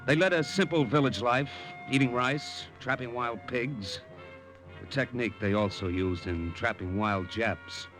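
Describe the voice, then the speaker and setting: An actor playing an earnest American army captain and secret agent, steady and plainspoken, in a 1950s radio drama. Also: male voice, mid-range voice, measured pace, soft background music, close to an everyday voice, low-quality recording. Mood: narrating. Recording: old radio recording, faint noise.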